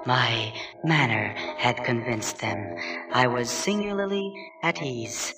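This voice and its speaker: A man's voice: medium pitch, close to an everyday voice. A man playing a mad, cunning murderer who grows frantic, in a theatrical horror story reading. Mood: uneasy calm. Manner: theatrical, slow deliberate delivery. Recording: roomy, distant, music behind.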